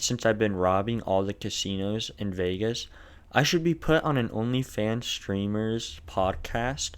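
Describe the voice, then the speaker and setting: A man with a lisp narrating a video game challenge run in a casual, crude, jokey way. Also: on the low side.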